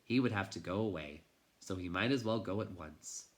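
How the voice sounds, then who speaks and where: A man reading a children's novel aloud in an expressive, dramatic way, moving between hushed and intense delivery. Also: deep voice, moderate pace. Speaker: teacher reading a storybook aloud.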